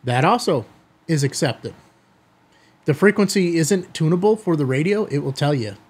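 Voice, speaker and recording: A middle-aged man hosting a hobby video, giving a product demonstration to camera in a husky voice. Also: fairly high pitch, animated, normal speed, darkish tone. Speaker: host of a ham radio video channel. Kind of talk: product test demo to camera. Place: small indoor studio room.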